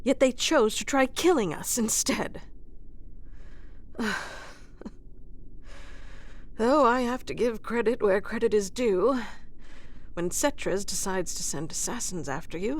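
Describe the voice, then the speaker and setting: Slow-speaking, wry fantasy queen acted by a woman. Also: medium pitch, animated. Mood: weary, wry. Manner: teasing, dry, formal phrasing with sighs. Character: wry, composed female ruler of a fantasy kingdom, wounded ex-fighter. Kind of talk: scripted ASMR fantasy roleplay acting.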